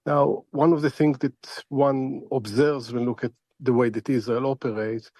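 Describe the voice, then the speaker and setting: A middle-aged man speaks as an expert analyst in a news interview. His delivery is halting and deliberate, circling back over words while he thinks. The voice is medium in pitch and recorded dry. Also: flat delivery, darkish tone, dry recording.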